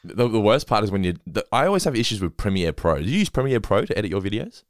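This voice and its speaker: A man hosting a casual podcast chat, talking quickly and laughing often. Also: fairly low pitch, very animated, no echo, studio quality. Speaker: podcast host.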